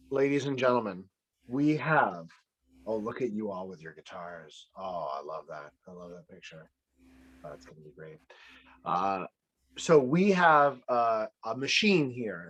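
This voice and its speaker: Man, host, video call, playful, teasing, rambling, mid pitch. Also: fairly bright tone, slightly rough, poor audio. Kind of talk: casual video-call game show hosting.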